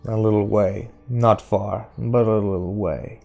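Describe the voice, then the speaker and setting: A man voicing a weary, sick gunslinger in an audiobook, terse, stoic and measured. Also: fairly low pitch, steady tone, gravelly, a little mellow, soft background music. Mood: grave.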